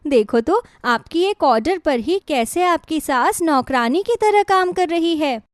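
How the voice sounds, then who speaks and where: A woman voicing a cheeky younger sister in a cartoon story, gleeful and flattering. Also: high-pitched, unhurried, no room sound, pitched above the performer's own voice. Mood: gleeful, admiring.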